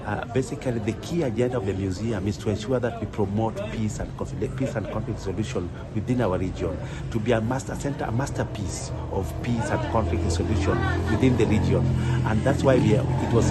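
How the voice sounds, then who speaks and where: Low-voiced Kenyan man over loud music. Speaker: guest speaker, museum curator. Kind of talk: presentation on a live online event.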